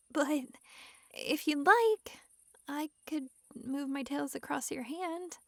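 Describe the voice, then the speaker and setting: A woman playing a young kitsune fox girl, coy, doting and gently possessive, in a soft, intimate ASMR roleplay with sighs and coaxing asides. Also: sits high, very slow, dry recording, clean studio sound, exaggerated voice. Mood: tender, coy.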